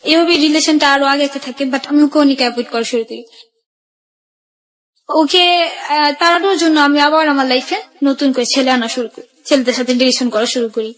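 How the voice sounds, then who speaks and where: High-pitched, fast-talking young Bangladeshi woman. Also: rough recording. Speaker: guest telling own life story. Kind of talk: personal storytelling on a radio show.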